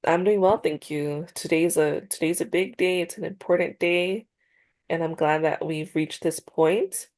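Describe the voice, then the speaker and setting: A woman's voice: on the low side, fairly even intonation, unhurried, a little mellow. A woman playing a counselor in a practice session over a video call, congested and a little nasal, hesitant with many fillers and restarts. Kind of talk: role-played therapy session.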